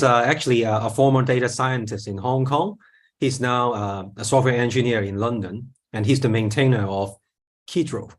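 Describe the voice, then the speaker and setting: A man hosting an online talk session, introducing the speaker in a casual, halting way. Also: mid-range voice. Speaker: event host introducing speaker. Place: online video call.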